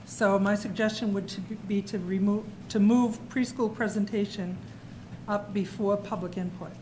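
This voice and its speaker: Board member lady, calm measured suggestion, noisy room. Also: mid-range voice. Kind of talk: speaking at a public meeting. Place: school board meeting room. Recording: noisy meeting-room recording.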